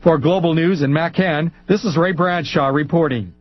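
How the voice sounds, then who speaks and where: A man narrating a TV news report in a measured, crisp way. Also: fairly high pitch, no room sound. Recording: muffled broadcast audio.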